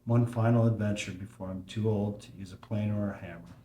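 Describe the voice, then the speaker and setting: An actor playing a wistful, adventurous older father who speaks softly and reflectively in a table read. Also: lowish voice, steady tone, a little fast, slight background noise, close to an everyday voice. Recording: roomy echo.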